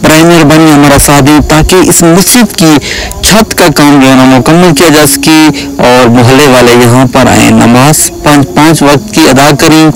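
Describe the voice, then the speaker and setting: A man's voice: fairly high pitch, normal speed, low-quality recording. A man speaks in a slightly raised register. He makes a charity appeal, describing the situation plainly and asking for help in a pleading way. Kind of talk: spoken appeal describing a village mosque.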